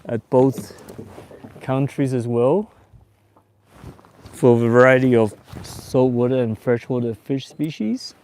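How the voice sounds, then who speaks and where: A man in his forties who grew up in Taiwan and Australia, hosting a casual fishing livestream from a boat on a lake in a relaxed, chatty way. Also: mid-range voice, takes it slowly, pauses often, slight background noise. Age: 40s. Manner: relaxed and chatty, with bursts of excitement when a fish bites. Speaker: fishing show host.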